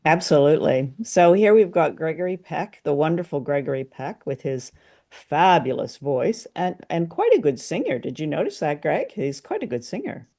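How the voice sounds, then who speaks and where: A female podcast co-host leads a chatty, enthusiastic discussion. Her voice is low and mellow, with lively pitch swings. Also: normal speed. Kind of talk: casual discussion.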